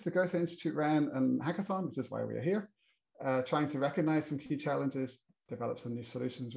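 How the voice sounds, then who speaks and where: British man moderating a panel, halting. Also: mid-range voice, gravelly, darkish tone, rough recording. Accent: British English. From Britain. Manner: hesitant, many restarts and fillers. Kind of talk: presenting a panel introduction.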